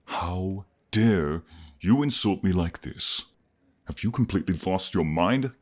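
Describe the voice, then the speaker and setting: A man's voice: low voice, lively intonation, unhurried, a little mellow. A man acting in a fantasy audio roleplay as a proud, hot-headed warrior god, blunt and indignant. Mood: angry, indignant.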